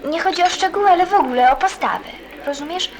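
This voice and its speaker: A woman playing an earnest, caring teenage girl in a radio play, asking gentle, thoughtful questions. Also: extremely high pitch, fast talker, low-quality recording. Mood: concerned, probing. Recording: busy chatter of people behind. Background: crowd chatter, as in a café.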